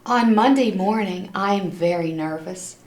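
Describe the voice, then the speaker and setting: A woman's voice: medium pitch, a little slow, darkish tone. A woman reading a children's storybook aloud expressively, with simple storytelling and little asides to listeners. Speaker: storybook reader. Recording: echoey room.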